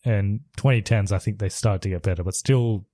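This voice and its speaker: A man co-hosting a podcast in casual chat, relaxed and often laughing as he steers the talk. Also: on the low side, brisk, no echo. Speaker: podcast co-host.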